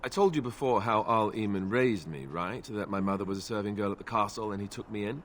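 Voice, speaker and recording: An actor playing an awkward, self-doubting young warrior in a fantasy video game, speaking hesitantly and sheepishly. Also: male voice, on the low side, fast talker, a little hiss or hum, close to an everyday voice. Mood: hesitant confession. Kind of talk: video game dialogue acting.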